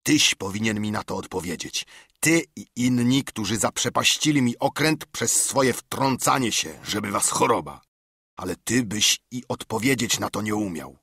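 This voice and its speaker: Casting: a man playing a loud, scornful old pirate boss, lively and husky, for a storybook reading. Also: lowish voice, moderate pace, no room sound, clean studio sound, heavily acted. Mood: threatening. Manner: mocking, threatening, loud. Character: cunning, domineering older male pirate leader.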